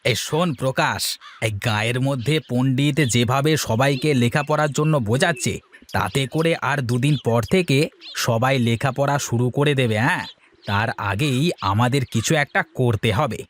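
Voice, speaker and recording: A man's voice: medium pitch, normal speed, slight background noise, close to an everyday voice. Man doing a sneering, scheming village villain. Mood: mocking, scheming. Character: sly, sneering village schemer who resents learning.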